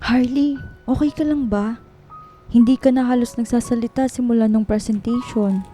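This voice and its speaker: A woman's voice: a little high, steady tone, normal speed, dark tone, faint music behind, close to an everyday voice. A woman playing a loyal, outspoken coworker in a radio drama, talking informally and urgently to a friend. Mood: concerned. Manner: urging, indignant, informal.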